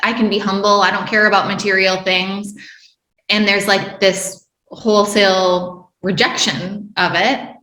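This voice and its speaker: A woman's voice: medium pitch, little pitch movement, a little slow, sharp tone, echoey room, poor audio. A woman hosting an interview podcast, upbeat and effusive as she introduces her guest and asks questions. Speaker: podcast host.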